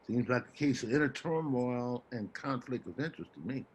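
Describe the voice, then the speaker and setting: An actor playing a rambling, wheedling homeless man with American street slang and a husky, stammering delivery, in a stage play reading. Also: medium pitch, lively intonation, a little fast, a little mellow, a little hiss or hum, close to an everyday voice, low-quality recording. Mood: calming, reflective. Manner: stammering, repeats words, folksy street slang.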